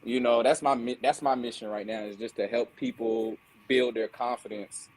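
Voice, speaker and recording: A man with a South Carolina Lowcountry Geechee accent, chatting earnestly about sea moss on a group video call, often restarting his words. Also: steady tone, normal speed, slight background noise, poor audio. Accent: Gullah Geechee, South Carolina Lowcountry. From South Carolina sea islands. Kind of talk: casual group chat on a call.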